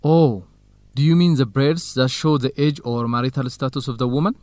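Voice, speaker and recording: An actor with an Ethiopian accent playing a polite, modest research partner in an educational radio drama, speaking earnestly. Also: male voice, mid-range voice, normal speed, a little mellow, no room sound, close to an everyday voice. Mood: friendly, interested. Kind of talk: radio drama acting, measured educational dialogue.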